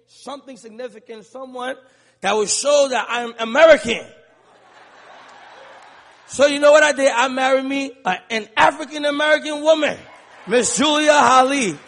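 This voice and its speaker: A man from Liberia preaching a sermon in a large church, calling to the congregation and rising into shouts. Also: very high voice, creaky. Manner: rhythmic call-and-response preaching, building to shouts. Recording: long church reverb.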